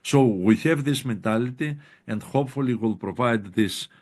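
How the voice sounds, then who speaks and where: A man's voice: medium pitch, normal speed, darkish tone. A man answering a question in an online seminar, hesitant and full of fillers, on a video call. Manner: hesitant, many fillers and "you know". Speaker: presenting researcher.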